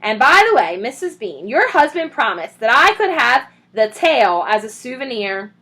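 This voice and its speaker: A woman's voice: a little high, sing-song, normal speed, bright, forceful tone, exaggerated voice. A woman reading a story and voicing a huge, coarse servant woman who is grumbling but dutiful.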